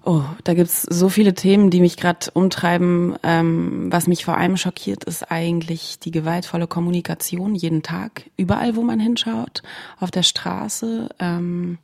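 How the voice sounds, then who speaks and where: Creaky, lowish-voiced woman from Germany. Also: level tone, normal speed, a little mellow. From Berlin, Germany. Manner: thoughtful, searching for words. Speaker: musician being interviewed. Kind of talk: reflective conversational interview.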